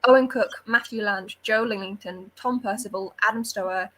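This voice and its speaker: Bright, slightly husky animated woman. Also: medium pitch, normal speed, poor audio. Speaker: book club readalong panellist. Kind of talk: casual book discussion chat.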